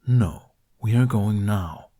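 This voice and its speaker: A blunt storybook dragon, acted out by a man with slow, weighty words. The delivery is flat and deliberate, as if every sentence were a firm statement. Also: on the low side. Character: blunt, flatly spoken male dragon companion. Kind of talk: audiobook character voice.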